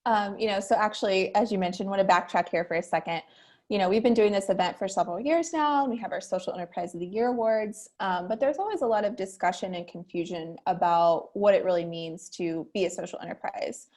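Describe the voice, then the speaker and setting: A woman hosts an interview in a conversational way. Her voice sits at an average pitch, and she introduces and questions as an event interviewer would. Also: a little fast, darkish tone. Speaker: interviewer, editor.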